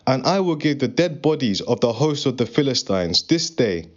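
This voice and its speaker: A man's voice: medium pitch, very animated, normal speed, close to an everyday voice. A man playing a confident, faithful young shepherd in a dramatized scripture reading, speaking in an earnest, declarative way. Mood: earnest, resolute. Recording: dull, muffled lo-fi recording.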